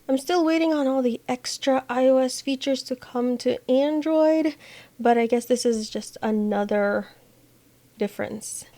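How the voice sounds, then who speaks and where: Female tech vlogger, higher pitch, conversational app tutorial host with personal opinions. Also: unhurried, dark tone. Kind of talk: casual app walkthrough.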